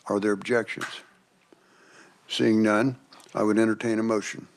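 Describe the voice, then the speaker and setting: Male committee chair, courteous procedural delivery, slightly low voice. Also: flat delivery, normal speed, creaky, a little hiss or hum. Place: legislative committee room.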